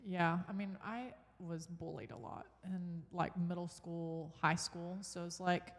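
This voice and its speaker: A woman's voice: fairly low pitch, fairly even intonation, measured pace, big-room sound. A woman co-hosting a podcast, chatting candidly and reflecting on her past. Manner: candid, reflective, laughs often. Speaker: podcast co-host. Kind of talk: casual chat.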